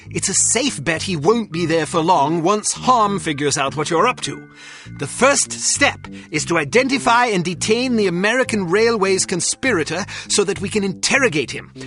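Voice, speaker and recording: A man's voice: sits high, bright, forceful tone, exaggerated voice. A man playing an urgent, formal spy agency chief briefing an agent in a video game. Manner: formal, emphatic. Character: urgent male spy agency chief giving mission orders. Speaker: mission briefer. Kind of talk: scripted game mission briefing.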